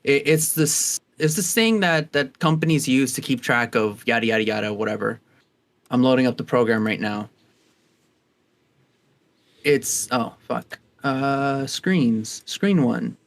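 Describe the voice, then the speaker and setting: A young man in his twenties rambles through stories on a casual podcast, restarting his sentences and laughing easily. His voice sits at an ordinary pitch for a man. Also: unhurried. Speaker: podcast co-host. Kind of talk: casual chat.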